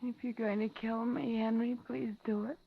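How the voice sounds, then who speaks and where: An actress playing a terrified captive woman who pleads and humours her captor in a deliberate, dramatic 1950s radio style. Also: fairly high pitch, steady tone, gravelly, husky. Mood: weary dread. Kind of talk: 1950s radio thriller acting. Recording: muffled vintage radio with dramatic music.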